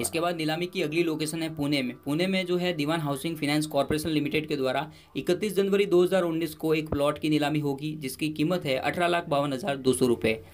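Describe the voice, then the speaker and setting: A man's voice: on the high side, slight background noise. A male presenter giving an informal news voice-over, talking conversationally with many fillers and restarts. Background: background music.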